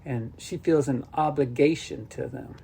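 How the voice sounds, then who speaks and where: Man voicing a blunt, husky-edged country boy. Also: mid-range voice, unhurried, mellow. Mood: bitter. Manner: blunt, colloquial, sardonic. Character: boy Todd, blunt, exasperated but caring neighbour kid. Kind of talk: audiobook character dialogue.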